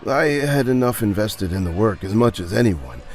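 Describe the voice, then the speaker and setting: Guy playing a gutted scientist blaming himself, audio drama, halting n emphatic, really animated pitch. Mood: self-reproachful. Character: dejected, self-blaming male research scientist confessing to a friend.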